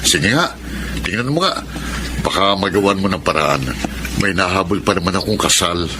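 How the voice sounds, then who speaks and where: Low-pitched, good-humoured Filipino priest. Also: male voice, animated, moderate pace, noisy background. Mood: teasing. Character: Father Bon, good-humoured, kindly parish priest. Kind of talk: Filipino radio drama acting.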